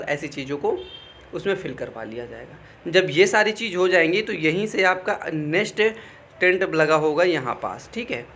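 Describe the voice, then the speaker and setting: A man's voice: a little high, fairly bright tone. A young man, explaining things informally to students in a conversational way, often checking in as he goes. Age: young adult. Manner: conversational, repeatedly checks in with "okay". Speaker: exam guidance YouTuber.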